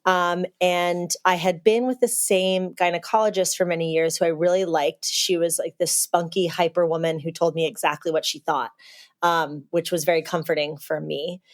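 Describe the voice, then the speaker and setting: A woman in her thirties, originally from Texas, talks as a guest on a podcast. Her voice sits a little low, and her talk is open and chatty. Laughter slips in easily as she tells her story. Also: level tone, moderate pace. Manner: candid, chatty, laughs easily mid-sentence. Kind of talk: casual conversation, telling her birth story.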